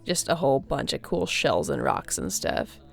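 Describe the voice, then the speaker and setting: A woman playing a friendly, easygoing young surfer girl in an audio roleplay, chatting casually and welcomingly with a creaky voice and laid-back slang. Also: deep voice, very animated, moderate pace, faint music behind, full character voice. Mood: reassuring.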